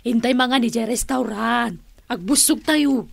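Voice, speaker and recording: An actress playing an exasperated comic auntie in an Ilocano radio drama, hesitant and full of exclamations. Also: female voice, on the high side, unhurried, gravelly, full character voice. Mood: breezy.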